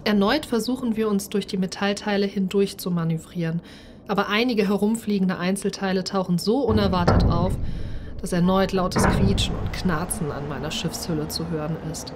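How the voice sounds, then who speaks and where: Expressive woman narrating over noisy background. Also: mid-range voice, moderate pace. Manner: expressive, acts out the character's speech. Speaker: narrator and player of a solo role-playing game. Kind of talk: first-person dramatised story narration.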